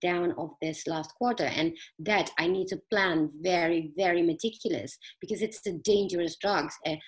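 A middle-aged woman with a fairly low voice talks as a guest on a podcast. She explains things earnestly from her own experience, pausing and feeling for words as she goes. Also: low-quality recording. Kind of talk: conversational personal storytelling.

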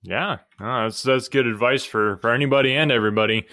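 A man hosting a casual interview, relaxed and quick to laugh as he asks questions. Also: medium pitch, dry recording, clean studio sound. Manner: relaxed and friendly, laughs easily.

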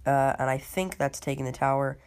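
Low-voiced sick woman hosting a podcast. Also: fairly quick, a little mellow, clear, resonant tone. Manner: laid-back, many ums and uhs, talks through live play. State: sick, voice a bit off. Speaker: podcast host. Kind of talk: casual gaming podcast commentary.

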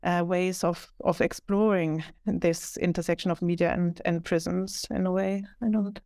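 A woman gives academic interview answers in a thoughtful, measured way. Her fairly low voice is smooth and clear, with little rise and fall. It is recorded close and dry. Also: fairly even intonation, dark tone, no echo. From Germany. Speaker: author, media scholar.

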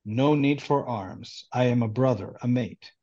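A man reading as a calm angel of death. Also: mid-range voice, a little slow, dull audio. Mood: calm, ominous. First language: Hebrew. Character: calm male angel of death, gently menacing.

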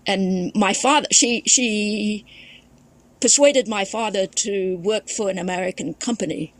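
A woman's voice: mid-range voice, measured pace. A woman from Thailand, a podcast guest and memoirist, recalling her family history in a reflective storytelling way, often restarting her sentences. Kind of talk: casual conversation.

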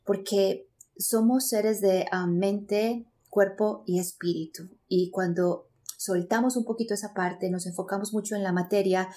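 A woman's voice: mid-range voice, mellow. A woman with a Colombian accent, speaking as an interview guest in an earnest, reflective and motivational way, explaining things. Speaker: interview guest, spiritual coach.

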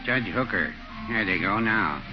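A man playing a mild, deadpan, slightly hesitant small-town fellow in a 1940s radio comedy. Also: lowish voice, unhurried. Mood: dry. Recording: dull old radio recording with music.

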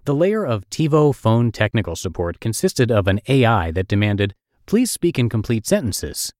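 A man's voice: on the low side, very animated, moderate pace, darkish tone, dry recording, clean studio sound. A middle-aged man with a Russian accent reading his own essay aloud in a wry, self-deprecating storytelling manner. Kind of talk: read-aloud essay narration.

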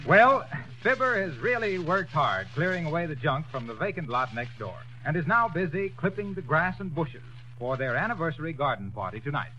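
Male announcer, bright polished 1940s read, muffled old broadcast. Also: a little high, very animated, a little fast. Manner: smooth polished delivery, slips in sponsor plugs. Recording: dull old radio broadcast.

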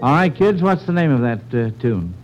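A man's voice: medium pitch, sing-song, normal speed, loud music behind the voice, poor audio. An American man hosting a 1940s radio quiz, calling on child contestants by name with folksy asides. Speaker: quiz show host. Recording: vintage 1940s radio broadcast.

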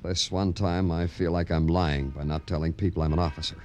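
A man's voice: deep voice, steady tone, fairly quick, darkish tone, slight background noise, close to an everyday voice. An American man acting a terse, world-weary police detective in a hardboiled 1950s radio crime drama. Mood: weary, troubled. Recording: old radio drama with music behind.

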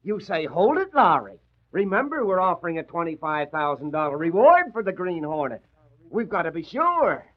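An actor playing an excitable, sarcastic newspaper reporter who gripes at his boss, in 1940s radio style. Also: a little high, normal speed. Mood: exasperated, sarcastic. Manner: sarcastic, griping. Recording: muffled old radio recording.

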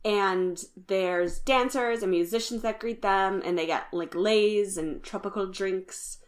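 A woman co-hosting a podcast, calmly summarizing the episode events in a casual chat. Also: medium pitch, measured pace. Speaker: podcast co-host. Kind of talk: casual chat recapping a reality show.

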